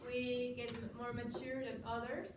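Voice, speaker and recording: A nineteen-year-old woman with a Mexican accent, a native Spanish speaker, giving a halting debate rebuttal in English. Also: on the high side, flat delivery. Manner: halting, searching for words in English. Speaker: student debater. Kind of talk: spoken rebuttal in a classroom debate. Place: classroom. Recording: echoey, noisy room, mic far away.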